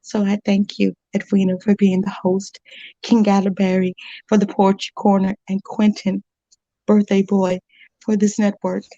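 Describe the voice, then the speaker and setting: A woman's voice: medium pitch, monotone, normal speed, dark tone, no echo. A middle-aged woman performing spoken word poetry with a bold, sassy, rhythmic delivery. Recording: dull, dry, lo-fi sound.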